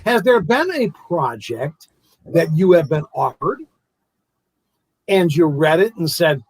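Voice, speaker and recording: A man hosting an interview show, animated, stumbling over his words and laughing often. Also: fairly high pitch, slow pace, breathy edge, no room sound, low-quality recording. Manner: animated, stammering, laughs a lot. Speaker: show host. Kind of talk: casual interview chat.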